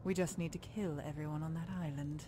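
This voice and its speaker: Bloodthirsty female fighter for game, actress: lowish voice, blunt sarcasm, dismissive, noisy ambience behind. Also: fairly even intonation, a little fast, lightly acted. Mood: scornful. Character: scornful, bloodthirsty, blunt female warrior companion. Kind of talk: scripted video game dialogue. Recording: clear background ambience noise.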